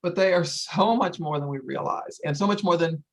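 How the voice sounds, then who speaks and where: A woman's voice: fairly low pitch, moderate pace, creaky. Older lady talking earnestly off the cuff, really emphatic, says things twice to drive them home. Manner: emphatic, repeats phrases for stress. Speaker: wildlife sanctuary founder hosting a story time. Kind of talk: earnest informal talk with audience.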